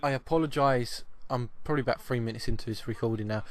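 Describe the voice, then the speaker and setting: Chatty, slightly croaky man. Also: medium pitch, fast. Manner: chatty, often repeats his first words. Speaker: gaming channel host. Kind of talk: casual football video game commentary.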